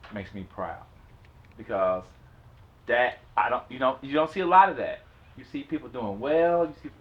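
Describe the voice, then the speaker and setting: A man leading a group workshop, joking at first and then speaking earnestly and gratefully to the young people. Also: medium pitch. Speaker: workshop facilitator. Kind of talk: casual group chat. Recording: slightly dull sound.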